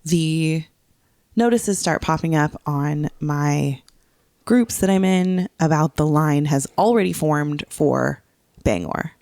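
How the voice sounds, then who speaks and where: A woman's voice: deep voice, slow pace, mellow, no echo. A woman co-hosting a podcast, recounting things in casual, conversational storytelling. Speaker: podcast co-host. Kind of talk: casual chat, recounting a trip.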